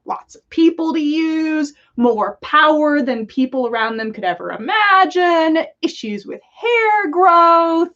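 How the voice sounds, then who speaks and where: A woman's voice: sits high, lively intonation, slow pace, few pauses, fairly bright tone, muffled recording. A woman narrating a comic story aloud as a podcast host.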